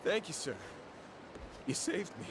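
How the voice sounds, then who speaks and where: A man's voice: high-pitched, very animated, a little slow. An actor playing a meek, wary and polite homeless man in a video game, speaking hesitantly. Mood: grateful, relieved. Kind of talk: dramatic video game voice acting. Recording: ambient noise behind voice.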